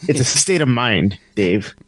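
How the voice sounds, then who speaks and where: A man's voice: mid-range voice, animated, unhurried, no room sound. A man co-hosting a casual podcast, laid-back and blunt, with casual swearing. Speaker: podcast co-host.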